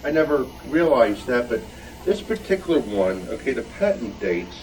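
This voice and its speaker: A man's voice: mid-range voice, very animated, moderate pace, a little mellow, recorded from a distance, rough recording. A man explaining an item informally at a show-and-tell, hesitant and in broken phrases. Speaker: club member presenting his collection. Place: club meeting room. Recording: recorded from off the mic.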